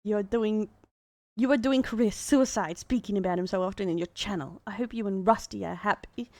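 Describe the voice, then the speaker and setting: A woman's voice: medium pitch, normal speed, darkish tone, no echo. A woman with an Australian accent, chatting casually as a VTuber reacting to fan posts, laughing often and amused. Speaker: VTuber commentator.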